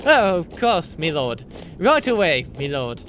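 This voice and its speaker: A man's voice: a little high, big pitch swings, measured pace, fairly bright tone, slight background noise. A man playing a bumbling, servile wooden nutcracker captain with exaggerated, flustered eagerness in a fantasy audio drama.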